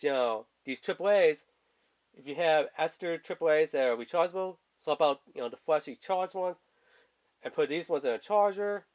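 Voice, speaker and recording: Male reviewer, somewhat higher register, colloquial and loose, casual home product-video delivery. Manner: rambling, informal, colloquial. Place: indoors at a tabletop.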